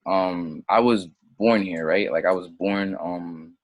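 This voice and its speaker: A man's voice: sits low, slow, dry recording, muffled recording. A young man raised in Senegal, a native French speaker, rambling and doubting himself as an interview guest, then drifting into impassioned digressions. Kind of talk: casual interview conversation.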